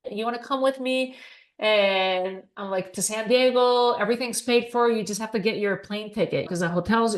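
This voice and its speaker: A middle-aged woman from Spain, a podcast guest telling her story in a chatty way and acting out remembered dialogue. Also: medium pitch. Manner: chatty, acts out quoted dialogue. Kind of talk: casual storytelling interview. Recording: roomy echo.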